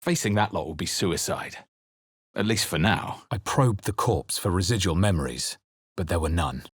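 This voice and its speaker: Brooding, husky, low-voiced fantasy hero. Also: male voice, animated, moderate pace, no echo, studio quality. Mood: grave, brooding. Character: male fantasy adventurer hero, brooding and wary. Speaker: video game player character voice. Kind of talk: dramatic first-person game narration and character lines.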